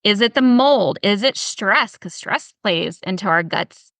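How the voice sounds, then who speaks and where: A woman with a Southern US drawl speaks with a bright, forceful edge over a dry, close sound. She talks through health topics in a casual, animated way, often piling up rhetorical questions. Her pitch sits in a middling range. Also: unhurried, no room sound. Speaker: health professional guest. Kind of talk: casual podcast conversation.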